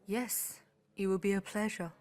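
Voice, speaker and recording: A woman doing a soft, gracious young noblewoman. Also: mid-range voice, steady tone, moderate pace, lightly acted. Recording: crowd noise behind.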